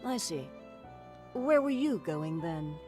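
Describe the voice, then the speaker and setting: A woman voicing a calm, kindly female professor in a game who speaks in a measured, formal and gently reassuring way. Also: lowish voice, faint music behind.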